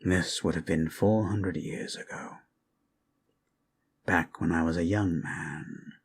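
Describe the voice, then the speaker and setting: A man voicing an ancient, weary undead lich who tells history in a hushed, solemn, gravelly voice for an ASMR roleplay. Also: low voice, fairly even intonation, exaggerated voice. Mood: grave, reminiscing. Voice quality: gravelly, creaky. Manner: measured, hushed, solemn, with long pauses. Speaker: storyteller. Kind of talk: ASMR roleplay, slow improvised fantasy history lesson.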